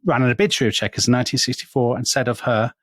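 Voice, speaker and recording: Man, history podcast co-host, chatty storyteller, mid-pitched, dry close mic. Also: rapid-fire, fairly bright tone, dry recording. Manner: chatty, storytelling, laughs often. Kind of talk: casual history podcast chat.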